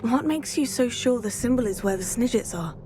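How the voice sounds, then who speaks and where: An actress playing an earnest young female student witch who speaks calmly and reassuringly in a video game. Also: on the high side, a little fast, over music, close to an everyday voice.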